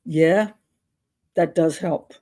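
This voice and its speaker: A woman's voice: deep voice, drawn-out words, mellow. An older woman hosting a casual advice vlog, talking in a chatty, candid, rambling and self-deprecating way. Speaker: advice show host on YouTube.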